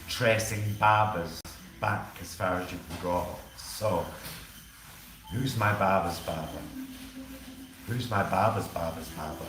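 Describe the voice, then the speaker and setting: A man chatting casually on a vlog. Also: lowish voice. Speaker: vlogger getting a haircut. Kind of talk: casual chat. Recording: echoey room, clear background noise.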